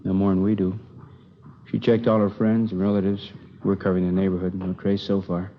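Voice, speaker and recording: An actor playing a practical police officer in a 1950s radio drama, casual and matter-of-fact. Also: male voice, low voice, level tone, a little fast, lightly acted. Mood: resigned, factual. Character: practical, plain-talking juvenile division police officer. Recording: dull, noisy vintage radio drama recording.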